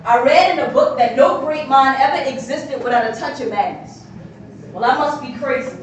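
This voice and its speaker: A woman's voice: sits high, normal speed. A young woman performing spoken word poetry live, with rhythmic, emphatic delivery and easy banter with the crowd. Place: art gallery, indoors, live audience. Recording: echoey hall, distant and noisy.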